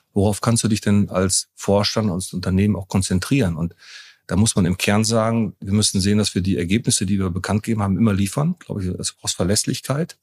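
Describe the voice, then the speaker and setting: Male business interviewee, informal and rambling, rough husky tone, quick delivery. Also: lowish voice, a little mellow. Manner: rambling, often restarting sentences. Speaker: company co-founder and executive, podcast guest.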